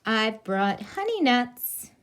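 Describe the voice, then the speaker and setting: Brief: friendly grinning badger read by a woman, gentle sing-song, slow. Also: on the high side, sing-song, exaggerated voice.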